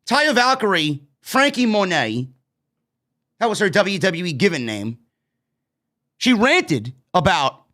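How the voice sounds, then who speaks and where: A man in his late thirties with a New York accent, hosting a casual wrestling review show, blunt and animated, speaking into a studio microphone. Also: high voice. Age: 30s. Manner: animated, gestures a lot, blunt and swearing. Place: streaming studio with neon bar backdrop. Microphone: broadcast mic on stand, headphones.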